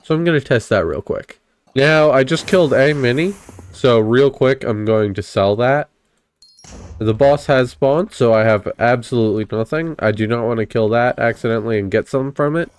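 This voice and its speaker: A man's voice: mid-range voice, moderate pace, clean tone. A young man recovering from a cold, casually narrating a video game test as host of his channel and explaining each step in a chatty, upbeat way. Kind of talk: casual gameplay commentary.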